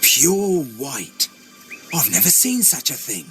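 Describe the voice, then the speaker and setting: An actor playing a gruff, greedy soldier sidekick in a video game cutscene, with an earthy, blunt manner. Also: male voice, a little high, huge pitch swings, takes it slowly, over music, heavily acted. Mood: uneasy.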